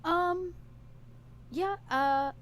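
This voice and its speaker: A woman's voice: very high-pitched, extremely slow, fairly bright tone, no echo. A woman playing a tabletop roleplaying game, talking casually and improvising in an eager, restless way.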